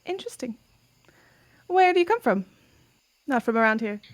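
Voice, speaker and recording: A woman's voice: on the high side, big pitch swings, moderate pace, voice pushed up. A woman voicing a polite, bookish male scholar with a British accent, formal and a little hesitant. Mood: curious.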